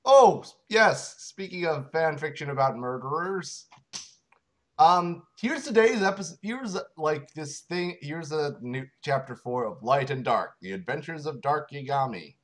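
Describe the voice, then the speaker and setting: A man co-hosting a comedic reading of fan fiction, jokey and animated. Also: a little high, a little slow. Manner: animated, jokey, breaks into laughs.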